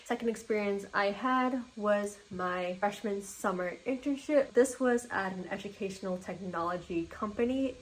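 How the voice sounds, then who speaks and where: A woman's voice: medium pitch, rough recording. A young woman in her twenties, a native Mandarin speaker, walking viewers through her resume in an upbeat, orderly vlog. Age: 20s. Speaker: vlogger.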